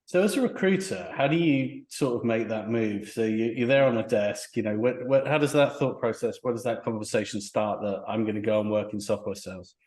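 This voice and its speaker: A man's voice: on the low side, a little fast, few pauses, darkish tone. A man hosting a podcast, asking questions in a casual, conversational interview style. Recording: roomy, echoing sound.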